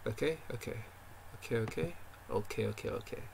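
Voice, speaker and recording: A man's voice: fairly low pitch, brisk, creaky, noisy background. A man developing a game on stream, thinking aloud as he works, muttering to himself and constantly correcting and second-guessing himself. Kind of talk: thinking aloud while working.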